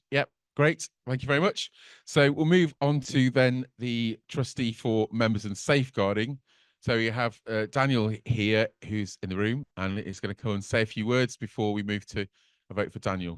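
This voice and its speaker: A man chairing a meeting, briskly moving through votes and introducing speakers. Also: medium pitch, steady tone, clear, resonant tone, dry recording. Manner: brisk, procedural.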